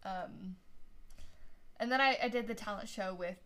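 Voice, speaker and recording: A teenage girl chatting as a podcast guest, animated and quick to laugh. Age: teens. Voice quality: lower voice than she used to have. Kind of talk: casual chat.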